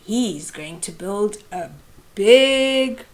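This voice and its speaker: Slow, animated woman reading to children. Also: mid-range voice. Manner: deliberate, explaining pictures to young listeners. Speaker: children's storytime host. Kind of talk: expressive picture-book read-aloud for children. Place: small indoor room.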